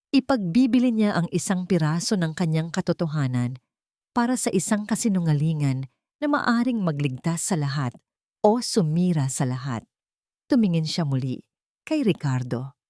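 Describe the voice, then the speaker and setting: A woman with a low, slightly bright voice narrates a scripted romance. The delivery is dramatic storytelling, and the sound is dry with no room around it. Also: no room sound.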